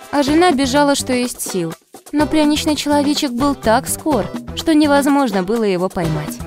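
A woman giving scripted narration of a children's fairy tale. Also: a little high.

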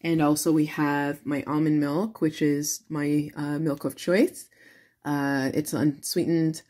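A woman's voice: sits low, little pitch movement, takes it slowly, dark tone. A woman hosting a casual home cooking demonstration, explaining things in an encouraging way. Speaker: host of a home cooking show.

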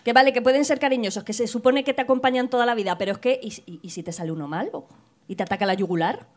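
A woman's voice: a little high, very fast. Mock-outraged young woman. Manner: dramatic, mock-outraged ranting. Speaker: podcast co-host presenting a segment. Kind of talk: casual comedic chat. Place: small bar stage with live audience. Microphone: handheld mic.

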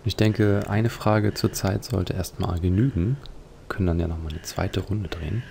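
A man giving casual, musing, unhurried commentary as he plays a video game. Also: low-pitched, creaky, darkish tone, slight background noise. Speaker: let's player.

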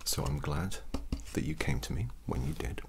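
A young British man speaking in a gentle, breathy near-whisper, reassuring and unhurried, as a doctor in an ASMR roleplay. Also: rumbling low, big pitch swings. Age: young adult. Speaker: ASMR performer playing a doctor. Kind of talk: soft-spoken ASMR medical roleplay.

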